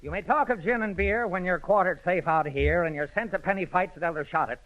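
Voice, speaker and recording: A man playing a tipsy gentleman with a bad cold, reciting verse as a 1930s radio comic act. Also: high voice, fast, close to an everyday voice. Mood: tipsy declaiming. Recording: dull old 1930s radio recording.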